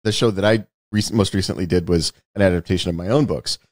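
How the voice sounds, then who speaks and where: A man's voice: low-pitched, fast talker, no echo. A middle-aged American man hosting a casual podcast, talking in a rambling, dry, casually sweary way. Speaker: podcast host; screenwriter and author.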